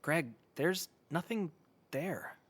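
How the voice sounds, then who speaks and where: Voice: mid-range voice, slow, no echo. An actor playing a concerned, curious friend in an audio drama, with a casual, questioning manner.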